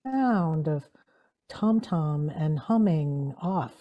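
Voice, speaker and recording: A woman reads stage sound cues in short, separate phrases. Her voice sits a little low and moves very slowly, with animated rises and falls. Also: highs cut off. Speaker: sound-effects reader. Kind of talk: read-aloud sound cues, clipped phrases.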